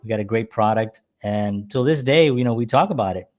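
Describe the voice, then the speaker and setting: A man's voice: fairly low pitch, brisk, darkish tone. A middle-aged man hosting a show and reminiscing about being a musician in a casual, rambling, jokey way.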